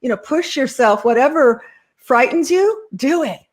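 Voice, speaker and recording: A middle-aged woman with a slightly high voice hosts a casual interview show. She encourages her guest, laughs easily and talks in a loose, chatty way. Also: lively intonation, measured pace. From Southern California. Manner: warm, encouraging, laughs often. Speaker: host.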